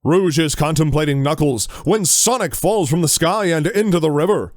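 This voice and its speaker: A man's voice: on the high side, moderate pace, dry recording, clean studio sound. A man reading scripted narration for an audio drama.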